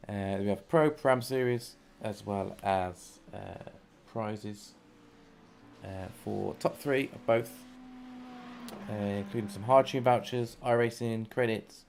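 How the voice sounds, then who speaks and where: Gravelly man commentating on sim racing. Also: on the low side, steady tone, slow pace, darkish tone. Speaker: motorsport stream commentator. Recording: clear background noise on stream.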